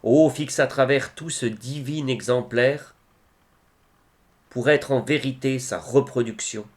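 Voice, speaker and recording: A man reciting devotional poetry in a solemn style, with measured, expressive phrasing and pauses. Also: mid-range voice.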